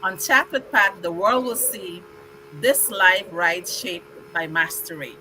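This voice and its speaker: Bright, upbeat woman host from Guyana. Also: fairly low pitch, slow, a little hiss or hum, poor audio. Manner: upbeat, rhythmic poetry recitation. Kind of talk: live online show hosting.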